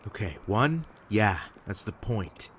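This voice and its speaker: A man acting a worn-out, surly ex-addict in a zombie apocalypse audio drama, gruff and exasperated. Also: on the low side, sing-song, slow, a bit bright, full character voice. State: weak, worn out.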